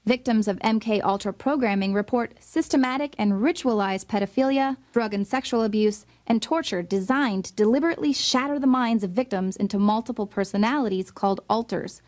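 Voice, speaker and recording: Woman doing dramatic scripted voice-over, kinda high voice, insinuating tone. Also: darkish tone. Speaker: narrator. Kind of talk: scripted narration.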